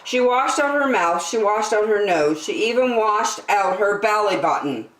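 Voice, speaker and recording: A woman reading a picture book aloud to children, expressive and deliberate in her emphasis. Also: medium pitch, recorded from a distance. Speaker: children's story-time reader. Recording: echoey, roomy sound.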